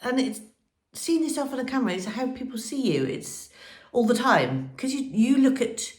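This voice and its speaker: A woman's voice: on the high side, big pitch swings, normal speed, darkish tone, roomy sound. A woman with a cold and a nasal, blocked-up voice, chatting and rambling with lots of ums as she gives a home how-to vlog. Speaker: vlogger. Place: old house, by a fireplace indoors.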